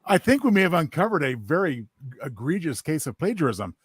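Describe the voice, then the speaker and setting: A man's voice: a little high, very animated, brisk, slightly rough, dry recording, clean studio sound. A man giving casual, wry, mock-serious commentary as part of a listening party.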